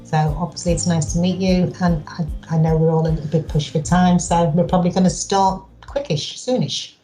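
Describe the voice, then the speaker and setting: A woman co-hosting an interview podcast, greeting the guest in a brisk, friendly way. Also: fairly low pitch, steady tone, dark tone, faint music behind, poor audio. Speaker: podcast co-host.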